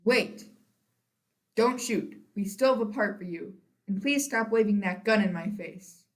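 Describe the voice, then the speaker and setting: A young woman plays a flustered Hollywood director in a stage comedy. Her voice sits at a middling pitch. She sounds theatrical and keeps trying to placate. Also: measured pace, natural voice. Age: teenager. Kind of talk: school one-act comedy stage acting.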